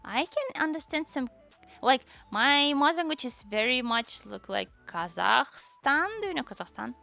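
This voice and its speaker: A young woman whose first language is Tatar, chatting casually on a stream, halting and searching for words, with many fillers. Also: high-pitched, expressive, gravelly, sharp tone, faint music behind. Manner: switches between Japanese and English. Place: lives in Japan.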